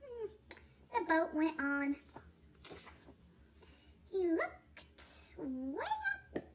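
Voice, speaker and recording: Very high-voiced woman reading a storybook, slowly. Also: background noise. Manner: playful, expressive, with drawn-out words. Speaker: storybook reader. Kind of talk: animated read-aloud of a children's picture book.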